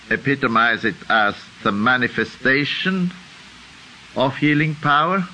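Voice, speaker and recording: An older Swiss-accented man teaches a class in a sharp, very bright voice. He talks very slowly and deliberately, working his ideas out aloud. He often checks in with a questioning "huh?". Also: mid-range voice, a little hiss or hum, low-quality recording. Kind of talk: unscripted lecture.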